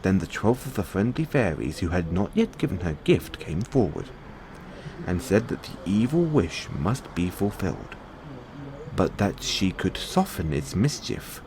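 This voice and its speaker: A man with a low voice narrates a bedtime fairy tale. His pitch moves expressively through each phrase, and there is faint noise behind him. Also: big pitch swings, measured pace. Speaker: storyteller.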